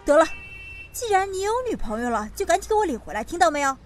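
Woman acting a high-pitched, bossy doting mother. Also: big pitch swings, fast, faint music behind. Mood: scolding, impatient. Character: wealthy bossy middle-aged mother, brisk but doting. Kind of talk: audio drama acting.

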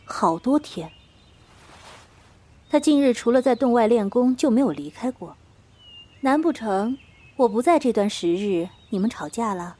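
A woman playing a mature, caring martial arts master in a Chinese period audio drama, calm, measured and authoritative. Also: on the high side. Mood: mildly stern.

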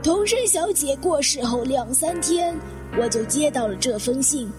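A woman's voice: high-pitched, expressive, a little slow, music in the background. A woman playing a composed, grave older lady in a detective audio drama. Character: composed older widow, Madam Honma, recounting a suicide.

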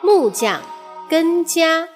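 A woman narrating a children's fairy tale in a measured, expressive storytelling manner. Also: very high voice, loud music behind the voice.

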